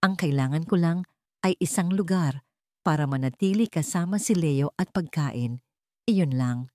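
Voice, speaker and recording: A woman's voice: sits low, a little slow, dark tone, no room sound. Woman voicing a devoted, firm Filipino nanny-mother. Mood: firm, guarded. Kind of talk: audio drama storytelling.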